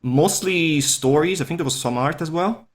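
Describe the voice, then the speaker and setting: A man's voice: fairly high pitch, moderate pace. A man with a Swiss Italian accent, a non-native English speaker whose first language is Italian, chatting as a podcast guest in an easygoing, rambling, self-deprecating way. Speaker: podcast guest, furry artist. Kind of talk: casual interview chat.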